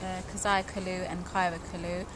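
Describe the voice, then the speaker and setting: A woman's voice: on the low side, level tone, a little fast. A woman giving a casual, unscripted introduction as an amateur-style presenter of herself and her role. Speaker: radio drama actress. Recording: loud ambient noise, vehicle sounds.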